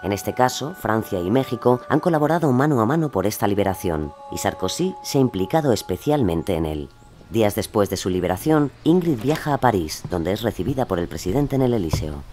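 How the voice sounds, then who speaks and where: A man narrating a scripted documentary in a measured, expository way. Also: fairly low pitch, very animated, darkish tone, faint music behind.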